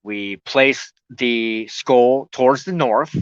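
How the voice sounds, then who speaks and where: A man giving an informal spoken teaching with personal reminiscence, halting, with frequent pauses and throat clearing. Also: mid-range voice. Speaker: host of a spiritual broadcast. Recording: muffled, echoey room recording.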